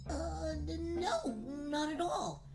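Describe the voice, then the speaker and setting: A woman's voice: very high voice, lively intonation, extremely slow, creaky, over loud music, voice pushed up, full character voice. A woman voicing a dim, greedy, good-natured little boy in a comedic radio play, dopey and polite. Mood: dopey, agreeable. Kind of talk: comedic radio play, gag-dub cartoon acting.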